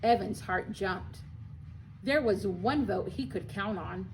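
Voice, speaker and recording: A woman's voice: medium pitch, takes it slowly, a little hiss or hum, low-quality recording. A woman reading a children's story aloud expressively, like a school librarian at storytime.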